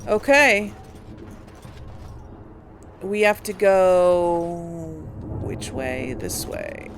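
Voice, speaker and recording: A woman's voice: on the low side, expressive, extremely slow. A woman hosting a casual video game let's-play, commenting and replying in character. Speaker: gaming host. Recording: clear background game noise.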